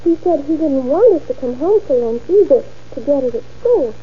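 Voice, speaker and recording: A woman's voice: high voice, animated, normal speed. A timid eleven-year-old girl. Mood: anxious. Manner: hesitant, timid replies. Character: young girl, eleven, worried and polite. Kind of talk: 1940s radio acting. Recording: dull old radio recording.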